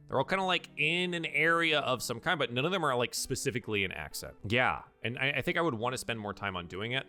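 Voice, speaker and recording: A man's voice: on the high side, pushed and bright. A man hosting a casual livestream advice chat as a game master, speaking emphatically and repeating himself for stress. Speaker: host, professional dungeon master giving advice.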